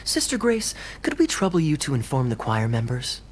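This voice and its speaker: A man's voice: mid-range voice, huge pitch swings, normal speed, a little hiss or hum. A man voicing a friendly, earnest organizer in a fantasy game, upbeat and persuasive.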